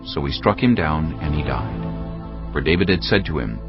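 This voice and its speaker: Deep-voiced man narrating scripture expressively. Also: expressive, lo-fi sound, over music. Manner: measured, dramatic storytelling.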